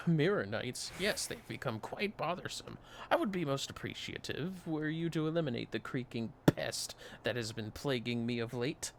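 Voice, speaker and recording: Male streamer as scholarly fantasy researcher, ornate courteous phrasing, lifted lively pitch, creaky edge. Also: moderate pace, a little hiss or hum, lightly acted. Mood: courteous, bothered. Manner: ornate, courteous, flowery speech. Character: formal, scholarly fantasy researcher of ancient ruins. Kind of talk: reading game dialogue in character. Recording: music behind the voice.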